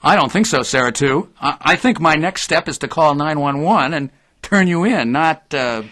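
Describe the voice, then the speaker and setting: Male reporter for audio drama, actor: tentative, placating, mildly defiant, with lively swings in pitch. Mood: suspicious, defiant. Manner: halting, tentative.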